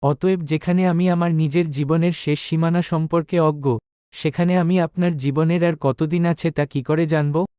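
A man acts as a shrewd village physician and astrologer in a cartoon moral tale. His voice is smooth and slightly high for a man, recorded dry and close. He speaks formally, with measured deference. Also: steady tone, mellow, dry recording, close to an everyday voice. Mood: humble, explanatory. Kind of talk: animated moral tale dialogue.

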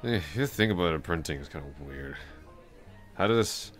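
A man playing a drunk, rambling, awkwardly friendly office coworker in an intimate ASMR roleplay, halting and repeating himself as he slurs along. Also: sits low, expressive, soft background music. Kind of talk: intimate ASMR roleplay, one-sided conversation.

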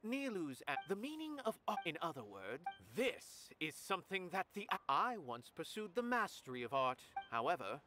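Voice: medium pitch, very animated, moderate pace, few pauses, sharp tone, a little hiss or hum. Lofty, lilting young scholar. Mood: musing. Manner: lofty, theatrical, wordy. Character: philosophical, self-absorbed young male artist scholar. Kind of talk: anime-style video game voice acting. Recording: music behind the voice.

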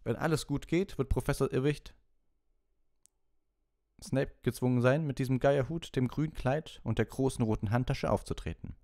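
A man's voice: medium pitch, moderate pace, a little mellow, pitched above the performer's own voice, natural voice. A man voicing a cheerful, encouraging wizard teacher in an audiobook, instructing patiently and kindly. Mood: encouraging, instructive. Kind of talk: animated audiobook character voice.

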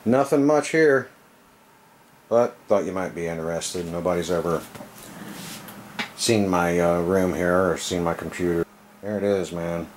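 A man with a low voice narrates a casual tour of a small room at home. His talk is folksy, salty and self-deprecating, full of easygoing slang. The handheld camera recording carries some faint background noise. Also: unhurried, pauses often. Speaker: video creator giving a room tour. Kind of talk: casual offhand vlog narration. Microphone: handheld camera, operated by the speaker.

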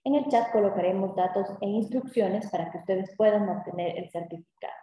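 A woman opens a webinar with a formal, polished introduction over a video call. Her voice is dark and mellow, and it rings out in a very echoey room. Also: mid-range voice, fairly quick. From Ecuador. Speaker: webinar moderator. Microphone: video call webcam.

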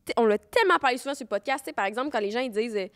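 A woman's voice: fairly high pitch, lively intonation, rapid-fire, a bit bright. A young woman with a Québécois French accent co-hosting a podcast, joking and swearing casually from a studio couch into a handheld mic. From Quebec. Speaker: podcast co-host. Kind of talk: casual chat.

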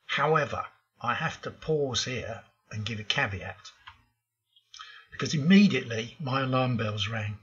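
A man's voice: medium pitch, expressive, unhurried, a bit bright, breathy edge, lo-fi sound. An older man hosting a programming tutorial, talking through slides in a frank, opinionated way with frequent ums. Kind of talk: narrated slide lesson.

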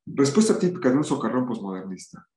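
A man's voice: medium pitch, darkish tone, roomy sound. A middle-aged man giving a lecture over a video call, reading passages aloud and adding his own remarks with occasional restarts. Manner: reads aloud with asides and restarts.

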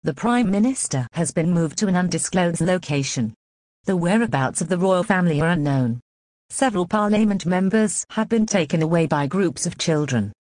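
A woman's synthetic voice reading scripted emergency announcements in a measured, impersonal way. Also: on the low side, lively intonation, darkish tone, no echo.

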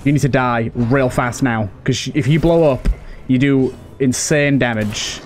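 A man's voice: mid-range voice, measured pace, slight background noise. A man giving casual let's play commentary as a gaming host, talking to himself and to the characters on screen. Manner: talks to himself and to game characters.

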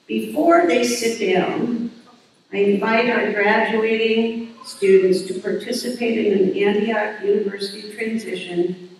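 A woman's voice: mid-range voice, fairly even intonation, measured pace. An older woman speaks from a podium on a large stage, delivering formal commencement welcomes and introductions with a dry, self-deprecating touch. Her voice rings through a big, reverberant hall and sounds set back from the microphone, with a faint hiss underneath. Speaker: university president. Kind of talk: commencement address. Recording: echoey hall, heard from a distance.

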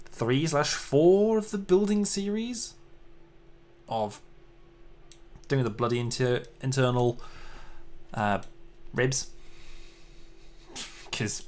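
A man's voice: mid-range voice, takes it slowly, pauses often, a little mellow. A man chatting casually while livestreaming his 3D modeling work, self-deprecating and prone to little exclamations.

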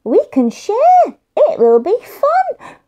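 Woman voicing a high, eager little boy. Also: huge pitch swings, slow, warm tone, dull audio, full character voice. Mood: eager, friendly. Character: friendly, eager little boy making up over a toy.

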